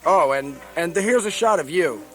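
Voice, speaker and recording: A man's voice: on the high side, animated, normal speed, a bit bright, soft background music. A man playing a shifty, scheming American intelligence agent in a satirical radio play. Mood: casual plotting.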